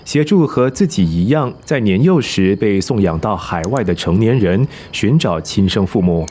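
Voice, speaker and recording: A man's voice: on the low side, expressive, normal speed, a little mellow, a little hiss or hum. A man reading scripted narration for a news documentary. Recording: faint music bed underneath.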